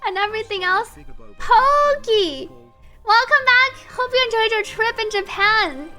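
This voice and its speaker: A woman game streamer chatting casually with viewers and reading story text aloud in a playful, expressive, teasing way. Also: very high-pitched, measured pace, over music.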